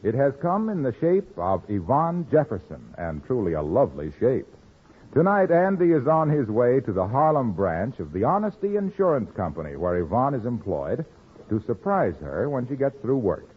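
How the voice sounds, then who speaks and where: A man's voice: medium pitch, sing-song, normal speed, breathy edge. A man working as a 1950s radio announcer, with polished, emphatic sales delivery. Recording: tinny old radio recording.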